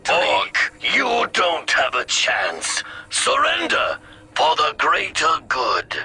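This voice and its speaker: A proud alien warrior commander from a video game. The voice is very sharp and forceful, slow and formal, with dramatic rises and falls. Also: male voice, fairly high pitch, huge pitch swings, a little hiss or hum, heavily acted. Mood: stern. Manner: measured, formal, disdainful. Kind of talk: video game character acting.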